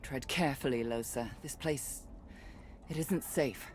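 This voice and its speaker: A guarded, commanding woman guide in a fantasy video game. Her voice sits low, with a dry, sardonic and measured delivery. Faint noise and ambience lie underneath. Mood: grave.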